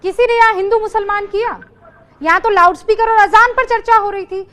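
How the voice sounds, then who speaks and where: A woman anchoring a heated TV news debate, cutting in and pressing guests with quick questions. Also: very high-pitched, a bit bright.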